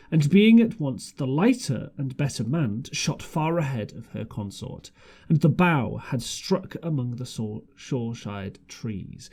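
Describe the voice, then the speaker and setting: Male amateur audiobook reader, highly animated intonation, storybook narration with occasional stumbles. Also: breathy edge. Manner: stumbles over words and rereads phrases.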